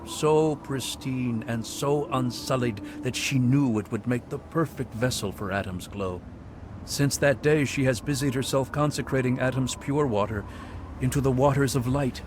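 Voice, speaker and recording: Man voicing a zealous game monk, soft-spoken, pious, sermonizing, mid-range voice. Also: medium pitch, background noise, lightly acted. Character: serene zealous male cult monk. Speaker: game character. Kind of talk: video game dialogue.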